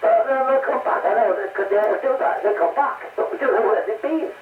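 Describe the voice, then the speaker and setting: An older man with a North East English accent chatting in a halting, chuckling way over CB radio. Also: very high-pitched, roomy sound. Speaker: amateur CB radio operator. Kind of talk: casual radio chat. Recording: noisy, narrow-band, distant CB radio transmission.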